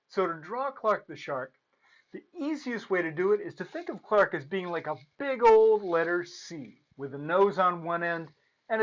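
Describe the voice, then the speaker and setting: A man's voice: high-pitched, fairly bright tone, muffled recording. A man presenting and reading aloud for children as a storyteller, playful and theatrical.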